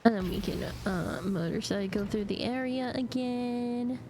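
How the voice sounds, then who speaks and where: A woman's voice: on the high side, measured pace, mellow, a little hiss or hum. A woman livestreamer giving casual, playful game commentary, often repeating phrases to herself. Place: livestream with game music behind.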